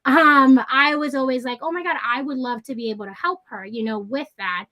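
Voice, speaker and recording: A chatty woman in her thirties, raised between the Dominican Republic and New York, with Spanish as her first language. Her voice sits fairly high and sounds bright and forceful. She talks eagerly, full of fillers and restarts. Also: a little fast. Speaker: guest, licensed clinical social worker. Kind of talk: casual interview talk.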